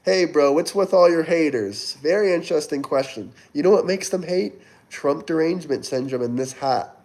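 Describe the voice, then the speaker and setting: A man's voice: a little high, normal speed, darkish tone. Man in his twenties hosting a livestream. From Florida, US. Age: 20s. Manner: reads out and answers viewer chat, urging likes and tips. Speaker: livestream host. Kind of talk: casual livestream chat.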